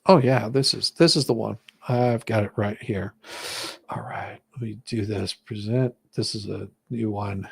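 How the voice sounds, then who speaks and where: A man's voice: fairly low pitch, unhurried, gravelly, breathy edge, dry recording. A man chatting casually on a livestream in a rambling, hesitant way as the host.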